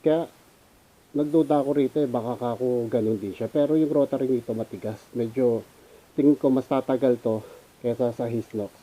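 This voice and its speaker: A man's voice: mid-range voice, a little fast, mellow, low-quality recording. A Filipino man giving a casual, unscripted gear review as a motovlogger, informally mixing in English. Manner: informal, switches between Tagalog and English.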